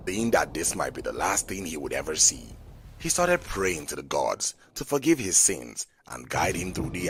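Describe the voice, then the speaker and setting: A man with a very low, gravelly voice tells a scripted tale as a dramatic narrator. His delivery is measured and rises and falls widely, with music clearly playing behind him.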